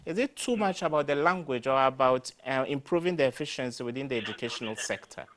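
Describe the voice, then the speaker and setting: A man's voice: mid-range voice, animated, fast talker, fairly bright tone. Formal male radio interviewer. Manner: formal, articulate questioning. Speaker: radio host.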